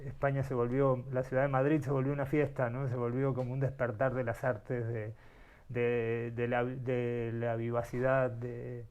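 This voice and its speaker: A man's voice: mid-range voice, flat delivery, normal speed, a little mellow, smooth, muffled recording. A middle-aged man born in Uruguay, telling his life story as an interview guest, thoughtful and searching for words. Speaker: guest, artist.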